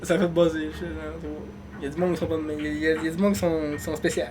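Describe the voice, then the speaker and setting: High-voiced Québécois man chatting casually. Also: little pitch movement, very fast, background noise. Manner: rambling, laughs often, trails off quietly. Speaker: podcast co-host. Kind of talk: casual chat.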